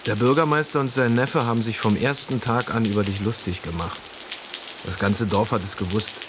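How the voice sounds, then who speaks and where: A man's voice: lowish voice, normal speed, noisy background, lightly acted. An actor playing a blunt, grumbling village man in a radio play. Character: gruff village man, Juan, indignant.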